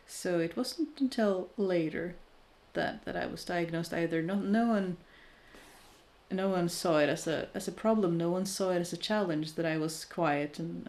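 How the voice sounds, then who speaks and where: A woman's voice: lowish voice, a little mellow. A woman in her thirties chatting casually and candidly with her viewers, thoughtful and self-deprecating, often restarting her sentences. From Norway. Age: 30s. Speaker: crafting streamer chatting with viewers. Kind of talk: casual live chat.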